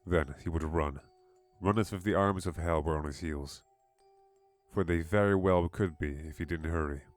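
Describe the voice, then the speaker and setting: Low-voiced man narrating horror. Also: moderate pace, no echo, soft background music. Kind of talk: scripted horror story narration.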